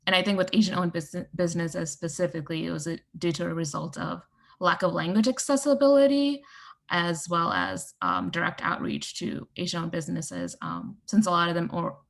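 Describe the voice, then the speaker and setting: A woman speaking as a panel guest, explaining in a measured way with some fillers. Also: on the low side, vocal fry. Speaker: panel guest, communications director. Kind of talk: casual panel talk.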